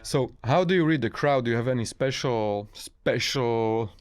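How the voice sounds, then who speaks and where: A man's voice: medium pitch, moderate pace. A man who speaks English as a second language, hosting a casual, chatty radio interview. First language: not English.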